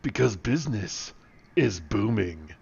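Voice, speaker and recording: A man voicing a tough, earnest action hero in a comic story, delivering deliberate, melodramatic one-liners. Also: lowish voice, big pitch swings, creaky, darkish tone, slightly rough, dull audio, heavily acted. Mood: confident.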